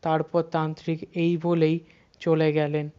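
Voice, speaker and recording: A horror story narrator speaks in a very monotone voice of middling pitch. The delivery leans on suspenseful pauses and repetition. The recording is dull and slightly muffled. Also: smooth. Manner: dramatic pauses and repeated words for suspense.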